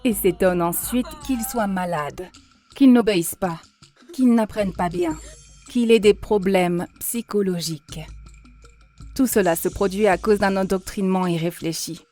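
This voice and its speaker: A woman voicing an earnest female mentor in a cartoon, lecturing in a measured, instructive way. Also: medium pitch, dark tone, lightly acted. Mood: earnest, didactic. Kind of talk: dubbed cartoon dialogue, didactic monologue. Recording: music behind the voice.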